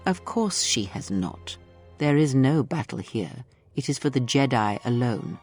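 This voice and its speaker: An older woman playing a weary, cryptic and manipulative mentor in a video game, speaking in slow, solemn measured phrases. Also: rumbling low, lively intonation, a little mellow, slightly rough, faint music behind, heavily acted. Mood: cold, knowing. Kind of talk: video game dramatic acting.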